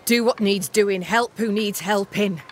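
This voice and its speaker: An actress playing a tough, blunt frontier camp leader in a video game, speaking curtly. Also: female voice, mid-range voice, a little slow, slight background noise, lightly acted. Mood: stern, matter-of-fact. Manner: curt, commanding. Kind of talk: video game cutscene acting.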